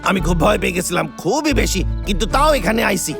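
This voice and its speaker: A man voicing a boastful, cowardly, scheming tour guide in a children's cartoon, with an excitable, exaggerated delivery and an eastern Bengali dialect. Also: sits high, quick pace, vocal fry, over music, exaggerated voice. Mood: boastful storytelling. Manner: exaggerated, excitable, full of yelps and stammers. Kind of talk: dubbed children's cartoon comedy.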